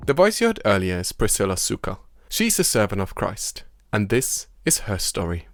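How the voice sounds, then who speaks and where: A man hosting a podcast, reading scripted narration in a measured way. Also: on the low side, wildly swooping pitch. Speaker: podcast host. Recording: dry studio voice-over with music.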